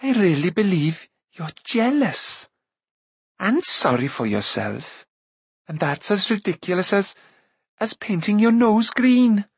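A man voicing a spirited young princess with tart, teasing retorts, pitched higher than his own voice. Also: big pitch swings, moderate pace, husky, no room sound.

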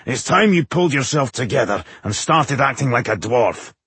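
A man's voice: medium pitch, expressive, moderate pace, a bit bright, husky, no echo, heavily acted. A man voicing a surly, scornful dwarf warrior who growls and mutters in a fantasy audiobook.

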